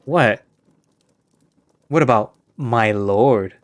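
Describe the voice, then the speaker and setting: A man's voice: medium pitch, extremely slow, no echo. A man voicing an affectionate, teasing male lion-like knight in a furry romance story. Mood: playful. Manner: playful, teasing.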